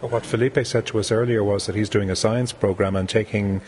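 Quick-talking radio host guy, lowish voice, bit of hiss. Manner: brisk, steady questioning. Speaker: radio presenter. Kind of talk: radio interview.

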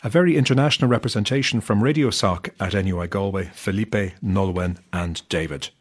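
Brisk low-voiced radio presenter man. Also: breathy edge. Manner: brisk, steady questioning. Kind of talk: radio interview.

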